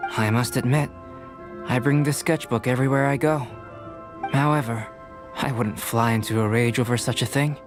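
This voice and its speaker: An actor playing a calm, scholarly young male alchemist in a video game, speaking in a measured, formal, reserved way. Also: mid-range voice, lightly acted. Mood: composed. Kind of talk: video game character dialogue. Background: game music.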